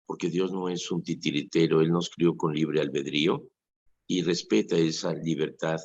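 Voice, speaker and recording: Mexican priest, 60s, deep and husky, earnest radio preacher; dull lo-fi broadcast sound. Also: male voice, fairly even intonation, moderate pace, darkish tone. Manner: earnest preaching with vivid quoted asides.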